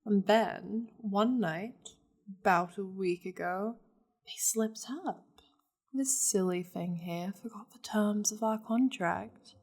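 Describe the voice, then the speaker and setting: A woman voicing a seductive, possessive demon lady in an ASMR roleplay, languid and teasing, slipping into breathy half-whispers. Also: medium pitch, takes it slowly, darkish tone. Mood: smug, seductive. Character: seductive, possessive powerful demon lady doting on her pet.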